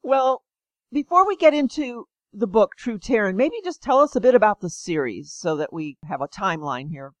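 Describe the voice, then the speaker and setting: A woman's voice: mid-range voice, very animated, normal speed, dry recording. A woman hosting an interview, enthusiastic and encouraging as she asks questions. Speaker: podcast host.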